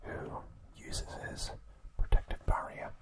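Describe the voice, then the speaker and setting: An ASMR host narrating in a hushed, breathy, unvoiced whisper, slow and unhurried. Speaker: ASMR host recapping an anime series.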